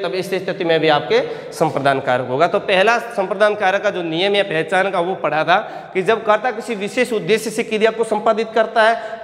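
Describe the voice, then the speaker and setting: A male teacher giving a grammar lesson, dictating examples slowly, repeating phrases and answering his own questions. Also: sits high, a bit bright. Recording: echoey room with long reverb.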